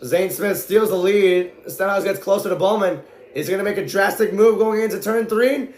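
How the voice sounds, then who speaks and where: High-voiced excitable male race commentator. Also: normal speed, roomy sound. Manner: excitable, often shouting. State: voice going hoarse from strain. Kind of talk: excited live play-by-play commentary.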